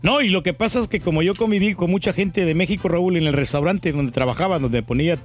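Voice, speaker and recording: A man from El Salvador with a Mexican Spanish accent, bantering as a radio co-host. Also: high voice. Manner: quick, jokey interjections and agreement.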